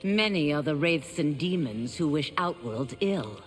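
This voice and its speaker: Low-voiced, sombre woman warrior. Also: expressive, measured pace, faint music behind. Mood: sombre, earnest. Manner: grave, respectful. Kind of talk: dramatic video game dialogue.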